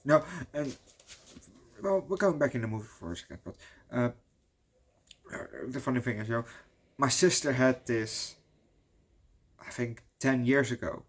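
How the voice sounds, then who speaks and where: A man's voice: mid-range voice, a little slow, pauses often, slightly rough. Rambling Dutch-accented man reviewing a movie. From Netherlands. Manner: rambling, swears often, peppers talk with "you know".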